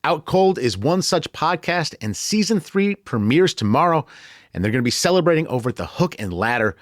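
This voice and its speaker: A man's voice: fairly high pitch, big pitch swings, fairly quick, no echo, studio quality. An American man hosting a podcast in a chatty, conversational, playfully self-deprecating way. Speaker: podcast host.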